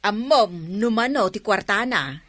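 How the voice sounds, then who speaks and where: A woman's voice: on the high side, normal speed, a bit bright. A woman acting in a radio drama as an eager, knowing neighbour, chatting and gossiping. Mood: gossipy.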